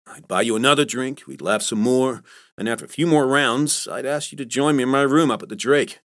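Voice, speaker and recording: A man doing a sly, smooth sales boss. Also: mid-range voice, brisk, a bit bright, no echo, clean studio sound, voice pushed up, close to an everyday voice. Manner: smooth, self-mocking. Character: sly middle-aged male sales boss.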